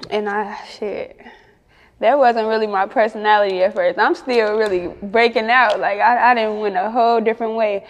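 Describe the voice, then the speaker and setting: A woman's voice: medium pitch, fairly even intonation, normal speed, in a large hall, dull audio. A woman with a Chicago accent chatting casually as an interview guest, candid and slangy. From Chicago, United States. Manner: candid, slangy, often chuckling.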